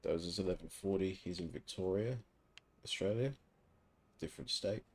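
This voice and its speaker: A man's voice: low-pitched, moderate pace, a little mellow. A man from England with an English accent, chatting casually as a live streamer while gaming, teasing and swearing casually.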